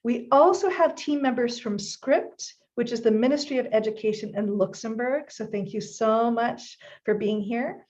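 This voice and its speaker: A woman's voice: on the high side, normal speed, hardly any pauses, a little mellow, lo-fi sound. A woman hosting a live-streamed event, greeting the audience directly in an upbeat way. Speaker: host.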